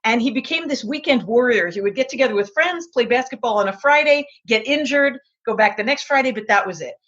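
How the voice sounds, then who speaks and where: A middle-aged woman from Israel tells her story in a chatty, animated way as an interview guest. Her voice sits fairly high. The recording sounds dull and muffled. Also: brisk. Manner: chatty, animated, acts out quotes.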